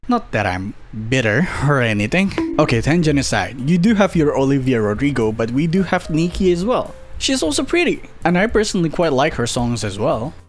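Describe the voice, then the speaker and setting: Animated young man, joking vlogger. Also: on the high side, soft background music. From Indonesia. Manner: joking, self-mocking, quick asides with frequent laughs. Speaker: YouTuber. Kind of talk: casual commentary vlog.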